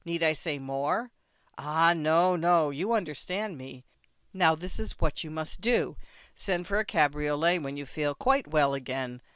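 A reader voicing a boisterous old ex-grenadier, full of exclamations, in a smooth, dry recording. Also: mid-range voice, expressive, measured pace, dry recording. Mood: confidential. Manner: loud, exclaiming, full of oaths and toasts. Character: boisterous old French ex-grenadier, loud and theatrical. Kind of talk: audiobook character voice.

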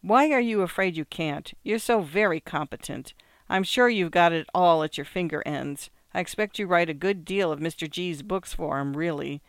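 A man's voice: sits high, normal speed, a bit bright, clean tone, no echo. A man voicing a wealthy wife in a short story, mocking and theatrically gushing, with ironic, condescending exclamations. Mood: ironic teasing. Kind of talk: acted literary short story.

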